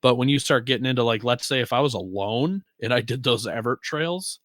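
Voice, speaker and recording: A man's voice: medium pitch, brisk, fairly bright tone, no echo, studio quality. An American man co-hosting a casual off-roading podcast, talking in an informal, slangy way with occasional swearing. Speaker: podcast co-host. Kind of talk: casual conversation about off-roading.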